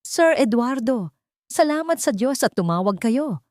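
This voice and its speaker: A woman's voice: a little high, very animated, measured pace, darkish tone, dry recording, studio quality, voice pushed up. A woman voicing an anxious, loyal servant in an audio story, urgent and deferential. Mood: relieved, pleading.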